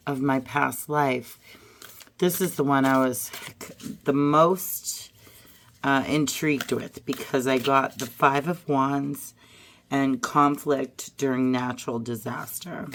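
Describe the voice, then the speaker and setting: A hoarse woman getting over a cold, musing aloud as she pulls and reads tarot and oracle cards. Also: a bass voice, a little hiss or hum. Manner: musing aloud, drifting to soft murmurs. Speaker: tarot reader. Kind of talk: casual card-reading vlog.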